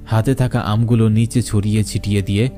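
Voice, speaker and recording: A man's voice: on the low side, fairly even intonation, fairly quick, dark tone, faint music behind. A man narrating a scripted horror story.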